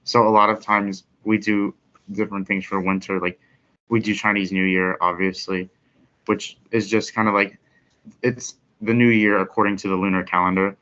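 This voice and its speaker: A man's voice: on the low side, little pitch movement, moderate pace, sharp tone. A teenage boy, a student guest who explains things in a casual, matter-of-fact way. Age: teens. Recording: muffled lo-fi recording.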